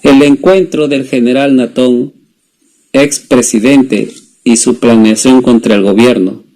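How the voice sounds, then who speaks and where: A man's voice: medium pitch, steady tone, unhurried, mellow. A young man, a student presenter, reading a scripted story summary as narrator. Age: young adult.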